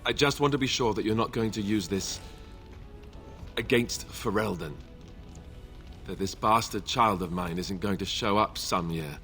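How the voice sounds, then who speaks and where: A man's voice: lowish voice, measured pace, pauses often, fairly bright tone, faint music behind. A man voicing a young warrior in a video game, wry and earnest, an awkward reluctant leader. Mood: reluctant, uneasy. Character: young male warrior, awkward, sardonic, reluctant heir turned rallying leader. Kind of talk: video game dialogue acting.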